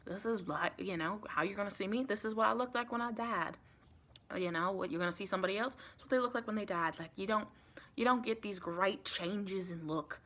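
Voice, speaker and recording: Bright, soft-spoken woman as a folksy vampire. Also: medium pitch, brisk, lightly acted. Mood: reassuring. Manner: chatty, reassuring, colloquial, rambling. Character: friendly, folksy female vampire welcoming a new recruit. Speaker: ASMR performer. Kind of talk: ASMR roleplay, soft-spoken with whispers.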